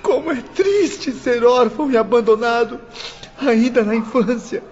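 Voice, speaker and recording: High-voiced, anguished orphaned young prisoner. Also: male voice, a little mellow. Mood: tearful grief. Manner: tearful, declamatory, slow dramatic delivery. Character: anguished imprisoned orphan son, bitter, grieving, self-pitying young man. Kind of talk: audiobook radio drama acting. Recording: dull muffled audio, music underneath.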